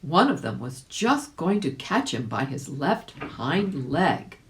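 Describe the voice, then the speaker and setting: A woman narrates a children's story in a low voice. She takes her time and swings her pitch widely, speaking straight to young listeners with animated expression. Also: slow. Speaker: volunteer audiobook reader.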